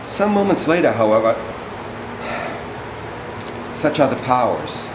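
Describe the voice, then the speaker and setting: A man playing a servile, wordy orator in a stage drama, reciting poetic text in a halting, deliberate, declamatory way. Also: mid-range voice, sing-song, background noise. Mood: solemn, descriptive. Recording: echoey room with music behind.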